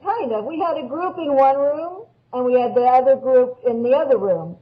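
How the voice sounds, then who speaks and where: A woman with a high voice reminisces casually as a podcast guest. The sound is somewhat dull and lo-fi. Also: lively intonation, normal speed. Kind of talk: casual recollection. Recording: slightly dull, limited sound.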